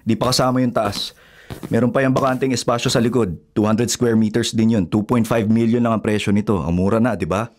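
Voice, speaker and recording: An actor with a Filipino accent playing an exasperated, pleading fiancé in a radio drama, speaking in a colloquial, persuasive, complaining way. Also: male voice, fairly low pitch, steady tone, a little fast, natural voice. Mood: coaxing. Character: exasperated fiancé, house-hunting, pleading with his partner.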